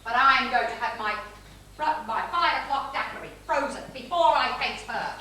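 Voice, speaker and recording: An imperious older society widow, played by a stage actress, ruthless and theatrical. Her voice is bright and rather high, heard from a distance in a very reverberant hall. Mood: irritated, imperious. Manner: domineering, theatrical, deliberate. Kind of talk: stage play acting. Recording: very echoey theatre, distant mic, noisy.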